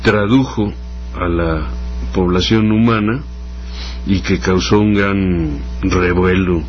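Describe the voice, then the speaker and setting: A Mexican man hosts a radio show in a low-pitched voice. He speaks slowly and haltingly, feeling his way through unscripted remarks. The sound is dull and lo-fi. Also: slight background noise. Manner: halting, hesitant, repeating words. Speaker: radio host. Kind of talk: unscripted radio talk introducing music.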